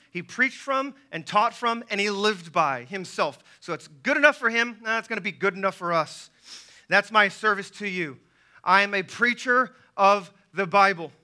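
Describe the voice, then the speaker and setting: A male pastor delivers an impassioned sermon in a high, extremely bright and forceful voice. He yells his points emphatically, breaking them into deliberate phrases and repeating them for weight. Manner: deliberate phrasing with rhetorical repetition. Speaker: pastor preaching to a church.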